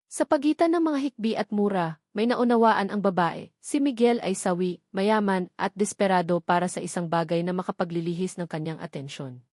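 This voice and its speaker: Filipino woman reading a dramatic audiobook story, mid pitched voice, really dry sound. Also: normal speed, darkish tone, no echo. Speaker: audiobook narrator. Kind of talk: scripted dramatic story narration.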